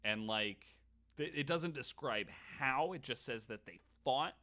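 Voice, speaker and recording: A man's voice: medium pitch, animated, a little slow, bright, forceful tone. Irreverent, stammering male podcast host. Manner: irreverent, sweary, stammering with repeated words. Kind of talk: casual storytelling chat, sometimes reading quotes aloud.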